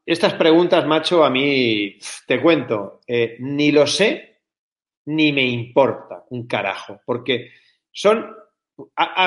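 A man's voice: fairly high pitch, fairly bright tone. A man from Barcelona with a Barcelona Spanish accent, talking bluntly and colloquially as an interview guest, with some swearing. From Barcelona, Spain. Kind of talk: casual, opinionated interview talk.

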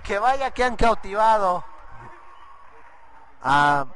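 A hoarse man, giving rambling live commentary on a school cultural event for the school radio. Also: high voice, takes it slowly, no room sound. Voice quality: husky. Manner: rambling, restarts often, excited bursts.

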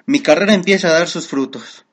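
A woman's voice: on the low side, fairly quick, close to an everyday voice. A woman playing a polite, earnest young male suitor in a radio soap. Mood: hopeful. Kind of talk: Spanish-language radio soap acting.

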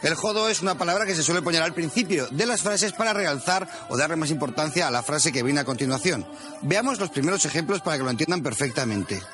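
A man's voice: a little high, lively intonation, quick pace. A man giving a humorous mock-didactic language lesson as a presenter. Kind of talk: humorous mock-lecture narration. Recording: music bed behind voice.

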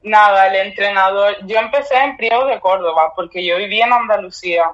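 A woman's voice: mid-range voice, a little fast, a bit bright. Chatty young woman on a muffled line. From Cuba, raised in Spain. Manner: chatty, laughs often. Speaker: guest volleyball player. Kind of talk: casual interview answers. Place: remote call from abroad. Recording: dull lo-fi remote line.

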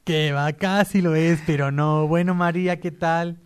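A man's voice: fairly high pitch, fairly even intonation, unhurried. A man co-hosting a radio show in casual comic chat, playfully chiming in.

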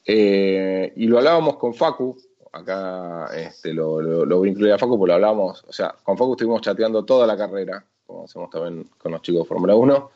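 A man with an Argentine accent and a fairly low voice chats casually about racing, animated and a bit slangy, with quick asides. The sound is dull and lo-fi. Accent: Argentine Spanish. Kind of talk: casual motorsport discussion.

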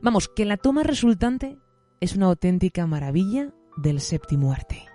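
A woman presenting a literary podcast in an expressive, effusive, semi-scripted way. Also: medium pitch, moderate pace, faint music behind.

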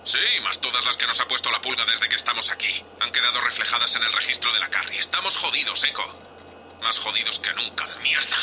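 A man's voice: mid-range voice, brisk, sharp tone, a little hiss or hum, rough recording. A man with a Spain Spanish accent playing a foul-mouthed, exasperated spaceship captain who scolds curtly in a sci-fi audio drama. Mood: exasperated, grim.

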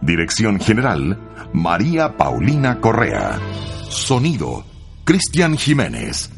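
Male radio announcer, low lively voice, Chilean accent, scripted delivery, music behind. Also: slow pace, gravelly. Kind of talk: scripted radio narration and credits.

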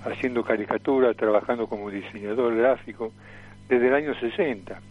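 An elderly man with an Argentine accent reminiscing as a radio guest over the phone, in a halting way that repeats phrases. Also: medium pitch, faint music behind. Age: 70s or older. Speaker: interview guest, veteran caricaturist and actor. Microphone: telephone. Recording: tinny, noisy phone line.

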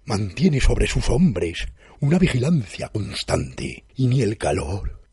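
Husky male storyteller, slow and expressive. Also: fairly low pitch, no echo, clean studio sound. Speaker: narrator, reader. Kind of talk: literary story narration in first person.

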